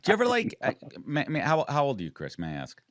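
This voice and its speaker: A man's voice: fairly high pitch, wildly swooping pitch, fast talker, dry recording, studio quality. A man in his forties hosting a casual interview, emphatic and repeating words as he launches into questions. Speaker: podcast host.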